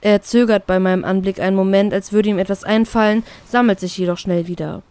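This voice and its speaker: A woman reading a first-person fan fiction story as an expressive narrator. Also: mid-range voice, brisk, clear, resonant tone, dry recording.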